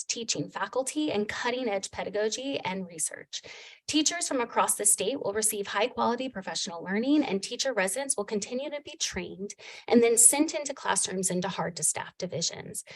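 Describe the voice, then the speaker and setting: A soft-spoken woman reading prepared remarks in a formal presentation to a committee, heard on a distant mic in a meeting room. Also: mid-range voice. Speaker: program presenter.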